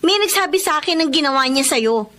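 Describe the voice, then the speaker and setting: A woman playing a kind young student in a drama, friendly and frank, quick to defend others. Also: high-pitched, lively intonation, pushed and bright. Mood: indignant. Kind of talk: Filipino radio-style drama acting.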